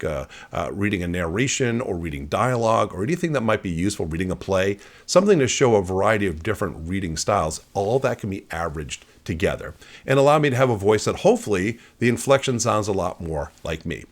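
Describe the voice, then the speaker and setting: Low, animated male tech tutorial presenter. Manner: conversational, explanatory. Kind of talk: casual screen-share walkthrough.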